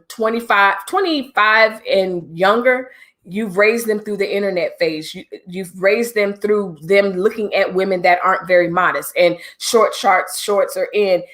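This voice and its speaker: A woman's voice: mid-range voice, a little slow. A middle-aged woman hosting a livestream, talking casually and directly to her viewers with preachy asides. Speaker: livestream host.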